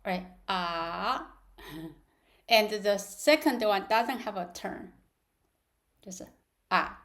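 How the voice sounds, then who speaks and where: A woman teaching a live online class, speaking haltingly with many fillers and drilling syllables aloud. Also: medium pitch, expressive. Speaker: language teacher. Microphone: video call.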